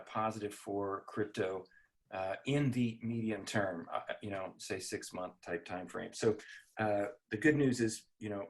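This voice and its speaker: An older man with a fairly low voice hosts a business conference call. He explains things off the cuff in a measured way, pausing and restarting as he goes. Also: poor audio. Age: middle-aged or older. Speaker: investment executive hosting a conference call. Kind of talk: unscripted business presentation.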